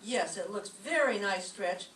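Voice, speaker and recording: A woman's voice: medium pitch, animated, unhurried, a bit bright. A woman playing a wry, sarcastic schoolteacher in an old-time radio comedy. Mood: dry, sardonic. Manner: dry, sarcastic wisecracking. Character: wry, sardonic female schoolteacher. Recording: roomy, distant microphone, faint noise.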